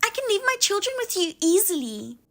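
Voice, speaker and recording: A woman's voice: very high-pitched, sing-song, fairly quick, pitched above the performer's own voice, heavily acted. A woman putting on a scornful, pushy sister-in-law. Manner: sharp, mocking and condescending. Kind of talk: dramatized story reading.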